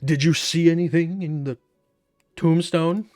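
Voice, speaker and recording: A man's voice: fairly high pitch, steady tone, a little slow, a little mellow, no echo, soft background music. A man giving casual, sarcastic, irreverent gameplay commentary with plenty of swearing. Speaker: game streamer.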